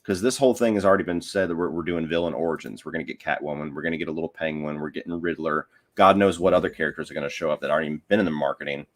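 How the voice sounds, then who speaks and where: A man with a deep, low voice talks casually on a film podcast. He is the guest, offering opinions in a conversational way. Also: steady tone, rapid-fire, few pauses.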